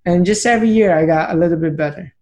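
A man's voice: high voice, fast, darkish tone. Earnest young man telling his story. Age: late 20s. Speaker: podcast guest, speaker. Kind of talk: conversational storytelling.

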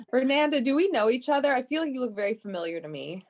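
A woman's voice: sits high, expressive, quick pace. A woman in casual, friendly conversation with a group.

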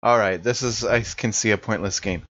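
Man, tabletop game player, lowish pitch, casual role-play talk, lo-fi muted recording. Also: normal speed, a bit bright, slight background noise. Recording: slightly dull, lo-fi audio.

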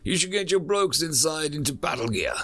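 A man's voice: a little high, sing-song, a little fast, sharp tone, soft background music, voice pushed up, heavily acted. A man voicing a brash, foul-mouthed male human soldier who barks orders in slangy talk. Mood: gruff bossy. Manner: slangy, swearing, bossy.

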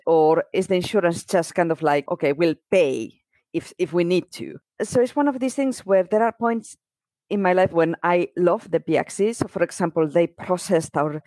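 A woman's voice: on the low side, warm tone, no room sound. A middle-aged woman from Spain, a native Spanish speaker, chatting casually and telling stories by acting out what people said. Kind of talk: casual chat.

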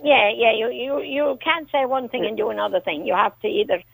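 Cheeky gravelly woman caller. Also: a little high, no room sound. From County Limerick, Ireland. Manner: cheeky, quick quips, repeats herself. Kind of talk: cheeky call-in banter.

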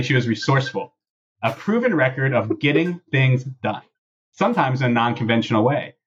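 Slightly husky man, dull-sounding podcast mic. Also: mid-range voice. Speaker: podcast host. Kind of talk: casual podcast discussion with dramatic readings of posts.

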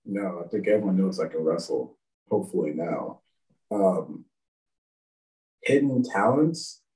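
A man's voice: sits low, expressive, a little slow, darkish tone, distant mic, low-quality recording. A man whose first language is French, talking with a lisp as a wrestling guest in a rambling, self-deprecating casual chat.

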